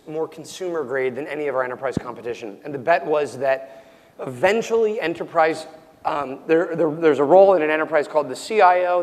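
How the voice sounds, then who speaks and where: A young man, a startup founder, answering interview questions loudly and almost yelling, with plenty of ums and restarts, his voice worn and cracking. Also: fairly high pitch, long reverb tail. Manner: loud, nearly yelling, full of ums and restarts. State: losing his voice, prone to cracking.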